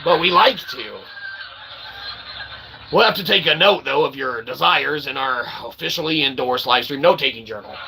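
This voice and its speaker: A man's voice: mid-range voice, animated, normal speed, gravelly, sharp tone, slight background noise, low-quality recording. A man hosting his own channel in a rambling, self-promotional monologue, sarcastic and self-mocking, who keeps asking "okay?". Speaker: independent channel host.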